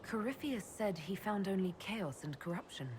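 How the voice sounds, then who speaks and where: An actress playing a composed, inquisitive leader of an order in a video game, earnest and level-headed. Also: female voice, mid-range voice, lively intonation, normal speed, darkish tone, a little hiss or hum, natural voice. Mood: composed, curious.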